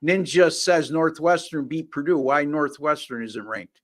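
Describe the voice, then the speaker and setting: Male host, sports betting chat, joking stat-reader, slightly high voice. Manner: joking, rapid-fire stat rundowns. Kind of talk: casual sports betting talk.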